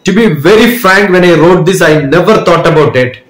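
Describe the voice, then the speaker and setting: A man speaks in a slightly raised register, giving long, thoughtful answers and pausing to restart his phrases now and then. His voice carries a noticeable room echo. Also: high-pitched, fairly bright tone. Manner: measured and thoughtful, explains at length with small restarts. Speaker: guest, educator and speaker. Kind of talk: reflective interview answers. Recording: roomy echo.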